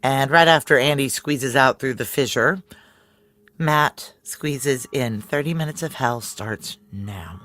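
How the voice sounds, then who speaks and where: A woman's voice: rumbling low, slow, a bit bright, soft background music, full character voice. An actress playing a tense, hard-boiled female security chief who narrates her inner thoughts in a noir audio drama, terse, wry and confiding.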